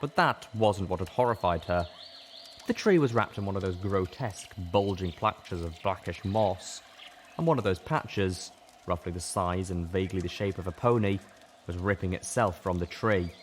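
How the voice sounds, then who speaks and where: A male audiobook narrator with a very low voice reads scripted first-person fiction. His delivery is dramatic and deliberate, with faint noise in the background.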